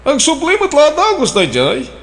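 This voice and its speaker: A man's voice: very high-pitched, wildly swooping pitch, normal speed, a bit bright, a little hiss or hum, full character voice. An actor playing a forward, blunt man back from abroad who mixes English into his speech. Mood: brash. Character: returning male emigrant suitor, blunt and forward. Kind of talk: radio drama acting.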